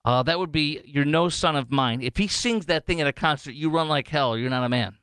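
A man filling in as talk radio host, chatting in an animated, sarcastic way with many fillers and restarts. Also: fairly high pitch, a little fast, smooth, no room sound, clean studio sound. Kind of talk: casual talk radio commentary.

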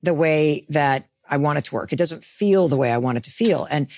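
A woman's voice: low-pitched, moderate pace, darkish tone, no room sound, clean studio sound. A woman hosting an interview, asking probing questions in an earnest, emphatic way. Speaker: podcast host, interviewer.

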